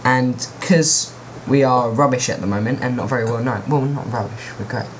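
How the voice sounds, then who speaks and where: Rambling, jokey young host. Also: male voice, mid-range voice, brisk, a little hiss or hum. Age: teens. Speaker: podcast host. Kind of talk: casual chat.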